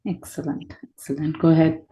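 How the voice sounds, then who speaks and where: Woman moderating a meeting, mellow low-pitched voice. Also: flat delivery, a little fast, low-quality recording. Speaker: moderator of an online orientation meeting. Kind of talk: hosting a video call, directing speakers. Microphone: video call.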